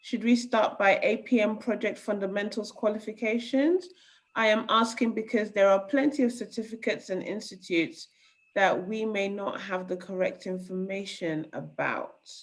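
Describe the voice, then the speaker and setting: A woman's voice: medium pitch, normal speed, rough recording. A woman moderating, reading audience questions aloud with hesitant fillers.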